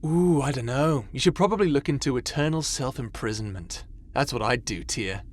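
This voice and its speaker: A man playing an excitable, teasing, jealous god in an audio roleplay, with a theatrical, mocking way of talking. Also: mid-range voice, moderate pace, lightly acted.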